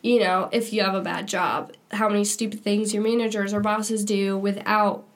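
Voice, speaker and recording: A woman's voice: mid-range voice, level tone, normal speed. A young woman co-hosting a casual faith-based podcast, sounding nasal from a cold and talking in a chatty way full of "like" and "um". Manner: chatty, lots of "like" and "um". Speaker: podcast co-host.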